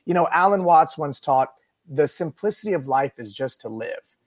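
A man's voice: fairly high pitch, lively intonation, normal speed, no echo, studio quality. A man hosting a radio talk show, chatting casually and earnestly to listeners in a rambling, unscripted way that repeats phrases for emphasis.

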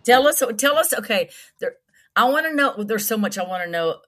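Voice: medium pitch, animated, moderate pace, pushed and bright. A host running a casual interview, eagerly tumbling over their own questions.

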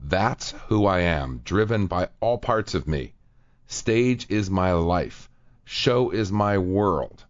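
A man's voice: low voice, animated, takes it slowly, no room sound, highs cut off. A man hosting a radio talk show, chatting casually and reading quotes aloud with many fillers. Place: radio studio.

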